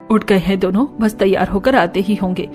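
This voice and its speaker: A woman voicing a patient older mother-in-law in a cartoon story, speaking calmly and evenly. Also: mid-range voice, normal speed, soft background music, pitched below the performer's own voice, close to an everyday voice. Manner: calm, explaining. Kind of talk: Hindi cartoon story dialogue.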